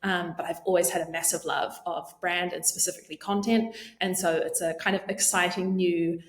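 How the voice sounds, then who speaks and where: A woman's voice: on the low side, fairly even intonation, fairly quick, roomy sound. A young adult woman with a New Zealand accent, chatting casually as a podcast guest with frequent ums. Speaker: podcast guest, marketing lead. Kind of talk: casual interview chat.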